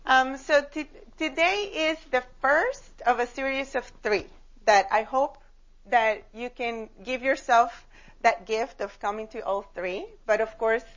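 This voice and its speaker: A woman's voice: on the high side, fairly bright tone. A woman from Costa Rica giving an informal workshop talk, conversational and drawing listeners in with questions. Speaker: workshop leader, child psychotherapist and art therapist. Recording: dull lo-fi live room recording.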